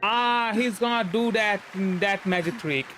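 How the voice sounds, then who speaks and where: Casting: male game streamer, high-pitched, unhurried, casual muttered commentary. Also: pushed and bright. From India. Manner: muttering to himself in short phrases. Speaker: streamer, virtual avatar host. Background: faint game music.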